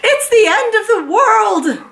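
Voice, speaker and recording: A woman voicing a panicky middle-aged wife in a children's storybook, with shrill, alarmed exclamations. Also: very animated, takes it slowly, vocal fry, fairly bright tone, heavily acted. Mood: panicked.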